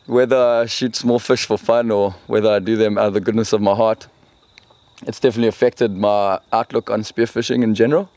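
A man's voice: lowish voice, fairly even intonation, a little fast, a little mellow. A South African man speaking as a guest in an informal interview. He tells things casually and with a wry, joking edge. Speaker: interview guest, spearfishing guide and retailer. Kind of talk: casual chat.

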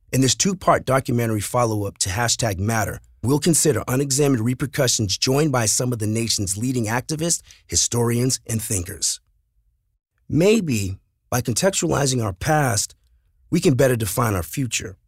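Husky male documentary narrator, dry sound. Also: medium pitch, no room sound. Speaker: documentary podcast host. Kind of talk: scripted narration.

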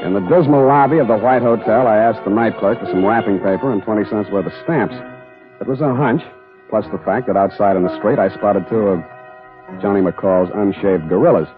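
A thin, tinny 1940s radio recording with music carries a man acting a hard-boiled detective. His voice is on the low side. He narrates and talks tersely, with deadpan, sardonic wit. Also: brisk, lightly acted. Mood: wry narration. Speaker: lead actor and narrator of a radio detective drama.

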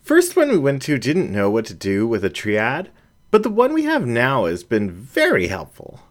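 A man's voice: mid-range voice, wildly swooping pitch, moderate pace, pitched above the performer's own voice. A man voicing a bashful young female raccoon who hesitates and trails off in an audiobook reading. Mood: shy, open. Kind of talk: storybook reading.

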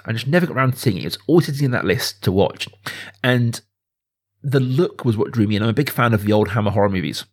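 A man hosting a podcast in a casual, chatty way, discussing film informally with asides to the listeners. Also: fairly low pitch. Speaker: podcast host.

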